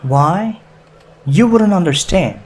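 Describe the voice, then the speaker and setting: A man voicing an angry, bitter twenty-year-old woman hijacker in an audiobook, speaking with slow, defiant emphasis. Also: high-pitched, expressive, pitched above the performer's own voice. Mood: indignant, scornful.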